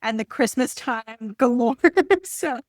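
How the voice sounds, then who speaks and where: A woman's voice: on the high side, expressive, takes it slowly, dry recording, clean studio sound. A woman hosting a casual, chatty book interview in an enthusiastic, gushing way. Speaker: podcast host interviewing an author.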